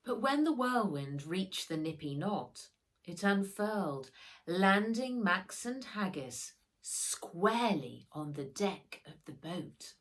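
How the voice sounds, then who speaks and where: A woman reading a children's storybook aloud as its author, with animated, expressive delivery and dramatic emphasis. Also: lowish voice, slow pace.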